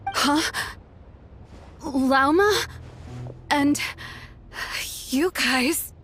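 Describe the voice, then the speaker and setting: A woman voicing a guarded, resigned young female turncoat in a game, halting and dramatic in delivery. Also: high-pitched, fairly bright tone, slight background noise, exaggerated voice. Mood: startled. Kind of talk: game voice acting.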